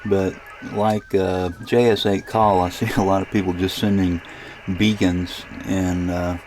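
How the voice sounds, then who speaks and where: A man casually narrating a software demonstration as a radio hobbyist, thinking aloud in a hesitant, rambling way with a gravelly voice. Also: low voice, level tone, measured pace, a little hiss or hum.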